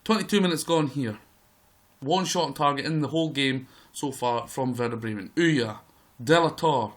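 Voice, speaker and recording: Man, croaky voice, fairly high pitch, excitable live game commentary, play-by-play. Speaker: gaming video host. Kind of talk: live commentary over a football management game.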